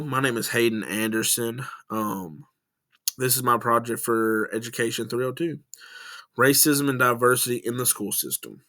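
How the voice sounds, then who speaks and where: A young man from West Tennessee speaks with a Southern twang at an ordinary middle pitch. He rambles casually through a class presentation, filling pauses with "um" and restarting his sentences. His voice sounds close and dry, with no room echo. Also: fairly bright tone. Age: young adult. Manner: rambling and informal, many "um" fillers and restarts. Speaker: student presenting a class project.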